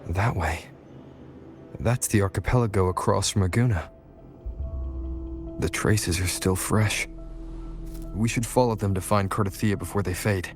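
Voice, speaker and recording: A man playing a calm, resolute young hero in a video game, speaking in a measured, serious, terse way. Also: low voice. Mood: serious, focused. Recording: faint music behind.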